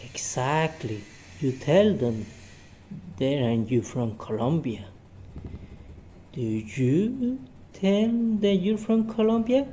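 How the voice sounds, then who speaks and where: A man leads an English lesson, asking simple questions and answering them himself. His voice is mellow and unhurried, and he speaks very slowly and deliberately. Faint noise sits behind him. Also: on the high side, very animated. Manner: repeats sentences, asks and answers his own questions. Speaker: English teacher. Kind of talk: slow question-and-answer drilling for learners.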